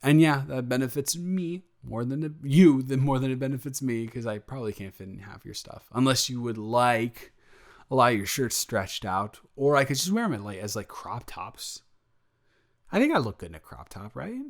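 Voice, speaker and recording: A man playing a smitten, playful young roommate in an improvised romantic audio roleplay, talking in a halting, self-correcting, jokey ramble. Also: medium pitch, lively intonation, close to an everyday voice. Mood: smitten, flustered.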